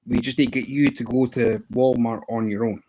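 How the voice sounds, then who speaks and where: A man's voice: medium pitch, steady tone, fairly quick, mellow, slight background noise, rough recording. A Scottish man in his fifties hosting a casual live stream, rambling playfully with lots of ums and restarts. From Scotland. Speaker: livestream host.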